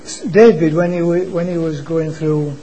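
Male preacher, quiet voice, mellow, slightly raised pitch, halting restarts. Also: fairly even intonation, slight background noise, poor audio. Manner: halting, repeats and restarts words. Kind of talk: informal church sermon. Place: church meeting. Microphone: microphone being adjusted.

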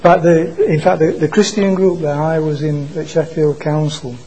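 A man preaching an informal sermon into a microphone at a church meeting, in a quiet voice that stalls and restarts on words. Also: on the high side, little pitch movement, a little hiss or hum, low-quality recording. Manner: halting, repeats and restarts words. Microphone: microphone being adjusted.

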